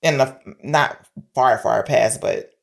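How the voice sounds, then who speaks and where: A woman's voice: extremely low pitch, slow pace, vocal fry. A woman co-hosting a casual film discussion in an informal, hesitant, conversational way. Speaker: podcast co-host reviewing films.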